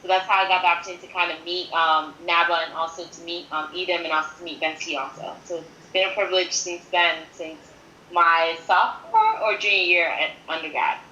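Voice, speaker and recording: Bright-voiced young woman in a roomy space. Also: on the low side, fairly even intonation, fairly quick, dull audio. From born in Haiti, grew up in New York City from age five. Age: 20s. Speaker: podcast guest. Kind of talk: conversational interview, personal account. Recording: roomy echo with faint background noise.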